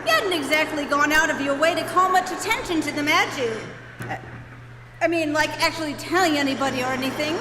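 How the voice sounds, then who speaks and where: A woman's voice: sits high, a little fast, background noise, lightly acted. A woman playing a stubborn, sarcastic, indignant male homeowner in a live radio comedy, dry and exasperated. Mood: indignant sarcasm. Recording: echoey hall with music behind.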